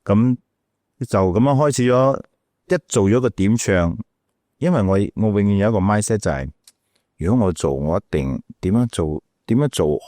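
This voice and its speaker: A man's voice: fairly low pitch, expressive, normal speed, dark tone, dry recording, clean studio sound. A middle-aged man with a Malaysian Cantonese accent telling stories casually as an interview guest, acting out quotes and repeating words as he goes. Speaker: radio DJ and podcast guest.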